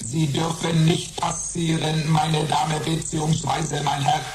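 A man's voice: on the high side, flat delivery, unhurried, roomy sound, very noisy, lightly acted. A man playing a stubborn old robot doorkeeper in a radio play, speaking in stiff, polite formulas. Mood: stiffly officious.

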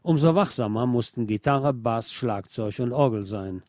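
A man reading a scripted music feature as a radio presenter, in a measured, articulate, literary manner. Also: mid-range voice, lively intonation, darkish tone, no room sound, studio quality. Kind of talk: scripted narration.

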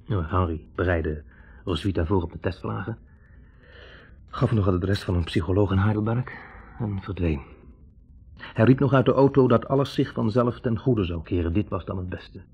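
Gravelly, wavering young student. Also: male voice, sits low, normal speed, pauses often, heavily acted. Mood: wry, recounting. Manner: hesitant, searching for words. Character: wavering, introspective young male medical student. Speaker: actor in a radio play. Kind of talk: 1960s Dutch radio drama acting. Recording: dull, band-limited 1960s radio recording.

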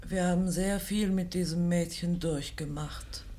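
A woman acts out an old clergyman's wife in a radio play. She takes her time over every phrase, speaking very slowly with fond teasing and matter-of-fact firmness. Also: lowish voice, fairly even intonation, mellow, natural voice. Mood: mock-plaintive. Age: older. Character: elderly provost's wife, fondly teasing yet firm. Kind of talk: German literary radio drama acting.

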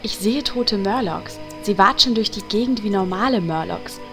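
A woman's voice: mid-range voice, normal speed, fairly bright tone, soft background music, lightly acted. A woman playing a miserable, snippy undead character in a parody audio drama, dramatic and biting. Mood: haunted.

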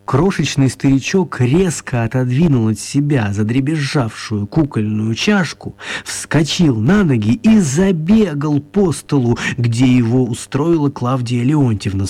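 A man tells a scripted children's story for radio. His voice sits in an average male range and has a husky grain. He speaks as the narrator of the play. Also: medium pitch, animated, measured pace, hardly any pauses, warm tone.

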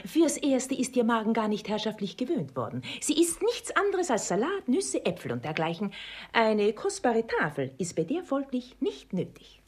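An actress playing a sly, flattering matchmaker in a German radio play, with an emphatic, wheedling, theatrical delivery. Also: female voice, a little high, normal speed, slightly rough. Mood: persuasive. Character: sly, flattering, scheming older female matchmaker angling for money.

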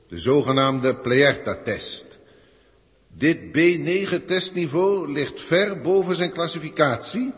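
A male actor plays a haughty, irritable alien official of high rank in a vintage radio play. He speaks in pompous, precise, bureaucratic phrases at a middle pitch. Long hall reverb surrounds the voice. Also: close to an everyday voice. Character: haughty, irritable senior alien overseer judging primitive humans. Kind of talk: 1960s science-fiction radio play acting.

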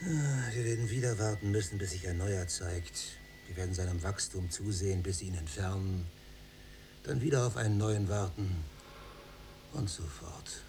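Low, resigned younger prisoner. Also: male voice, moderate pace, background noise. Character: younger male prisoner, resigned, wistful cellmate. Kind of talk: poetic radio drama acting.

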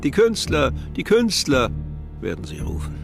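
A man's voice: on the high side, wildly swooping pitch, measured pace, vocal fry, heavily acted. A man playing a harried, melancholy festival impresario in a German radio play, with music behind him. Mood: pleading.

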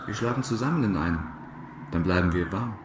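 A man's voice: sits low, expressive, brisk, noisy background. A man playing a cocky, flirtatious programmer in a German radio drama, with a teasing, worldly-wise manner. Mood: casual, cocky. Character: cocky, flirtatious male programmer stranded in a game desert.

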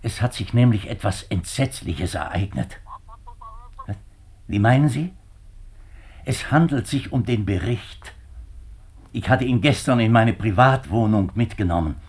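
An actor playing an anxious middle-aged government minister, husky and halting, in a 1950s German radio drama. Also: male voice, on the low side, animated, a little mellow, full character voice. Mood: agitated, pleading. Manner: halting, agitated, formal.

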